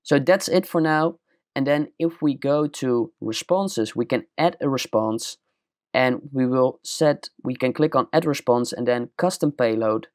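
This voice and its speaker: A young man with a Dutch accent walking through a screen-recorded software tutorial step by step, in a plain explaining manner. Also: medium pitch, unhurried, dry recording, studio quality. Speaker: tutorial presenter.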